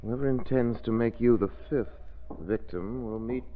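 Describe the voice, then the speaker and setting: An actor playing a calm, protective gentleman sleuth in a 1930s radio drama, measured and suave. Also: medium pitch, close to an everyday voice. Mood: calm, concerned. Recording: dull, noisy 1930s radio recording.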